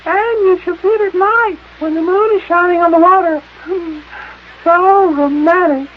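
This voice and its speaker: A coy, lovestruck lady, gushing in an extremely high sing-song voice. It is vintage radio serial acting on a thin, tinny recording with some hiss. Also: a little slow. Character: coy, gushing, lovestruck woman chasing a man.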